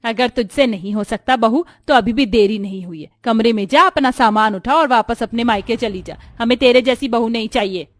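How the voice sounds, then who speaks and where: A woman's voice: a little high, normal speed, hardly any pauses, no room sound, natural voice. A woman voicing a stern, scolding middle-aged Indian mother-in-law in a cartoon story. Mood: scolding, harsh. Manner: commanding, scolding.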